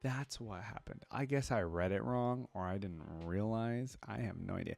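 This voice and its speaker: A man giving casual reaction commentary as an online creator, reading wiki text aloud and thinking out loud about it. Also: fairly low pitch, moderate pace, vocal fry, faint music behind. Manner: thinks aloud, interjecting between read passages.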